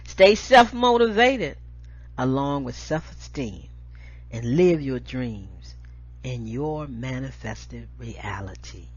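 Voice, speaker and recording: A woman performs spoken-word poetry on a dull, lo-fi recording. Her voice is husky, and she phrases each line slowly and deliberately, with dramatic emphasis. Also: low-pitched. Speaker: show host reading poetry aloud.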